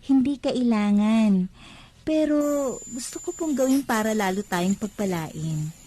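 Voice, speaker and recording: An actress playing a devoted, pious young adult Filipino daughter in a radio drama, speaking respectfully and earnestly. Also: female voice, mid-range voice, expressive, unhurried, warm tone. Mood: loving, earnest.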